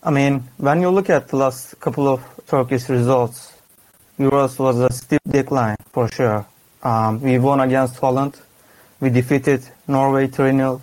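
A man, a football fan guest, giving a measured, subdued, detailed analysis of his team's poor games. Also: medium pitch, darkish tone. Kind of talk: analytical casual chat.

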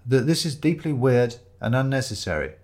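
A man's voice: medium pitch, animated, normal speed, darkish tone, voice pushed up, lightly acted. A man playing an awkward, easily exasperated male sidekick with a fussy manner.

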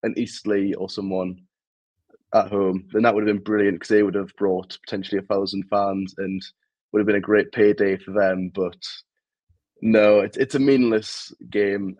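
A man's voice: lowish voice, little pitch movement, normal speed, a little mellow, no room sound, dull audio. A man with a Geordie accent, co-hosting a casual football podcast, frank and self-deprecating. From Newcastle, England. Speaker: podcast co-host.

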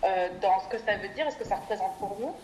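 A woman's voice: mid-range voice, fast. A woman, giving thoughtful, articulate answers as an interview guest. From Belgium. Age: 30s. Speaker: interview guest, development NGO worker. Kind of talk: reflective personal interview answers. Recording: echoey room with clear background noise.

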